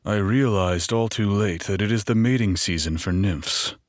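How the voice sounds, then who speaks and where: A man playing a knowledgeable, wary minstrel guide in a fantasy audio drama, measured and explanatory. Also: fairly low pitch, dry recording, clean studio sound, natural voice. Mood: uneasy.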